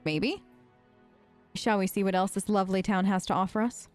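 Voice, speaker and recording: A woman giving casual, chatty role-play commentary over a video game, playful and gentle, often hesitating and tagging on questions. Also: mid-range voice, fairly even intonation, moderate pace, no room sound, faint music behind. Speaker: gamer playing a role-play let's play.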